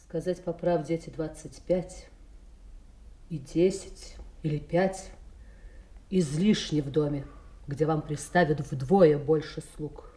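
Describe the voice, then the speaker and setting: Spiteful royal daughter for classical radio drama: lowish voice, haughty, scornful, in a roomy echo. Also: female voice, fairly even intonation, takes it slowly, dark tone. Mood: cool, cutting. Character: haughty, spiteful noblewoman, the old king's daughter. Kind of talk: classical audio drama acting. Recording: echoey, roomy sound.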